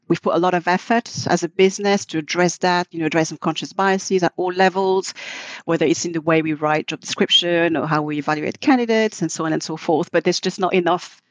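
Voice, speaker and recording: A woman's voice: fairly low pitch, fairly even intonation, no echo. Thoughtful middle-aged woman, non-native English speaker. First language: not English. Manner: thoughtful, halting with frequent restarts. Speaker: guest, marketing executive. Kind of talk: podcast interview.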